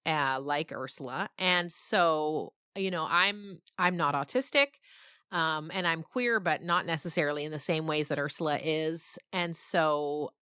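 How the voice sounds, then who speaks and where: A middle-aged woman talking casually and reflectively as a podcast interview guest, telling stories in an animated way. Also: sits low, measured pace, clear, resonant tone, no room sound, studio quality.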